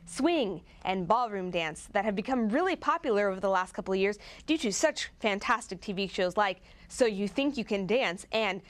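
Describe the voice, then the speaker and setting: A woman with a mid-pitched voice delivers a scripted promo. She gushes cheerfully about what is on offer, with a playful, tongue-in-cheek edge. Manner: chirpy, gushing, tongue-in-cheek. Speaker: presenter.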